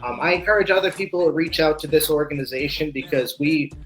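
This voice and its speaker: A man's voice: fairly high pitch, fast talker, fairly bright tone, slight background noise, poor audio. A man speaking as a guest in a casual interview, earnest and hesitant with fillers. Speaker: guest, community event organizer.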